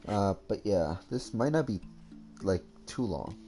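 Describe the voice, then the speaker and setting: A man's voice: lowish voice, lively intonation, a little slow, warm tone. A man giving casual, rambling, hesitant game commentary as a streamer. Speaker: gaming streamer. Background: faint game music.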